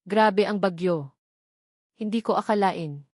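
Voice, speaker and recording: A woman's voice: medium pitch, unhurried, darkish tone, dry recording, studio quality, lightly acted. A woman with a Filipino accent voicing a polite, pleading young man from the city in a narrated story. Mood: grateful.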